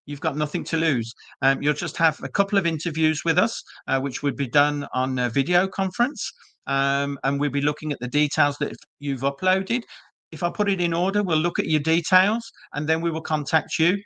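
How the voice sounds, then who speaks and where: A man's voice: on the high side, moderate pace, sharp tone, no echo. A British man presenting an online webinar for a business school, chatty and reassuring with frequent ums and uhs, speaking over a video call. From Britain.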